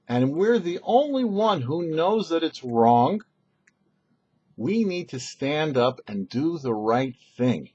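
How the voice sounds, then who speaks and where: A man's voice: medium pitch, a little mellow, husky, lo-fi sound. A man speaking as a rabbi and show host, giving a short scripted religious lesson in a didactic, questioning manner. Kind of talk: short scripted Torah teaching.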